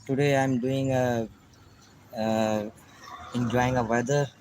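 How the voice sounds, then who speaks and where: A man with a Pakistani accent speaks slow, simple English in a casual chat, often repeating his greetings and thanks. His pitch is ordinary and his pace unhurried. He is outdoors in a rural spot, with faint birds and passing vehicles behind him. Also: fairly even intonation, a little mellow, slight background noise, low-quality recording. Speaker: vlogger chatting with strangers.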